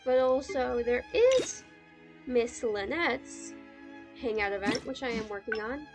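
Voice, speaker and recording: Slow, chatty woman streamer over loud music. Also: a little high, lively intonation. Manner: chatty, informal. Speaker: livestreamer. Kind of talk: casual game commentary to stream chat. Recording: game music behind the voice. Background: video game music.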